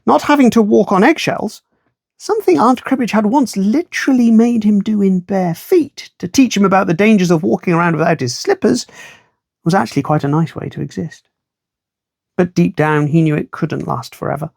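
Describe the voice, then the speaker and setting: A man reading a children's story aloud in an expressive storytime style, with wry asides to the listener. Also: high-pitched, normal speed, gravelly, dry recording, clean studio sound.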